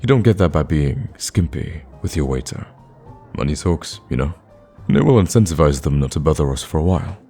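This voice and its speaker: Lovestruck male villain, played by a man for ASMR romance: rock-bottom pitch, soft rasp, hesitant and tender with a cocky edge. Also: heavily acted. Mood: smug, doting. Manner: hesitant, stammering, intimate.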